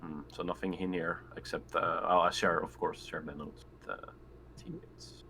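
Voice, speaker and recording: Low-voiced man chatting over a game. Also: steady tone, normal speed, pushed and bright, a little hiss or hum. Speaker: player in a tabletop roleplaying game. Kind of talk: casual game chat.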